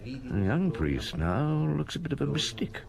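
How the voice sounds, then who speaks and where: An actor playing a grave middle-aged chief inspector in a radio drama, terse, musing and understated. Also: male voice, mid-range voice, big pitch swings, unhurried, gravelly, a little mellow, poor audio. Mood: musing, observant.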